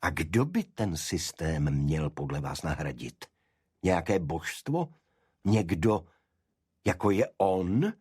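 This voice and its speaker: Guy doing a relentless detective grilling a suspect, audiobook style, husky, accusatory, dry recording. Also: low voice, lively intonation, darkish tone, no echo. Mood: probing. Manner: pressing, accusatory questioning. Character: relentless, probing male detective.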